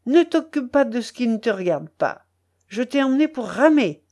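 A woman's voice: on the high side, big pitch swings, unhurried, darkish tone. A woman voicing a brutal, commanding male smuggler in an audiobook, harsh, mocking and imperious in manner. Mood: angry, commanding. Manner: harsh, imperious, growling orders. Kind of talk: dramatic audiobook character voice.

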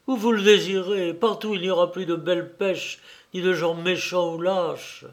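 A man's voice: high-pitched, fairly even intonation, moderate pace, natural voice. A man voicing an anguished, fearful young man who pleads with his mother in an agitated, dramatic way, as in an audiobook. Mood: bitter dread.

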